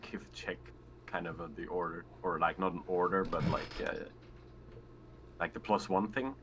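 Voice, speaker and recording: A man's voice: deep voice, fairly even intonation, moderate pace, background noise. A man playing a tabletop game, chatting casually and tentatively as he thinks his moves through aloud.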